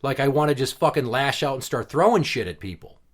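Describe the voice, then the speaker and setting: A man's voice: medium pitch, expressive, a little fast. A man hosting a podcast in casual chat, talking bluntly and heatedly with plenty of swearing. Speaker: podcast host.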